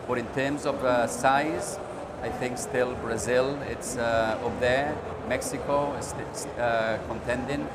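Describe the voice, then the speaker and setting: A man speaks as a panel guest in a middle-pitched voice. He pauses on frequent fillers between short phrases. The recording carries loud background noise. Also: steady tone. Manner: slow, many "uh" fillers. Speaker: panelist, regional director. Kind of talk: panel discussion answers.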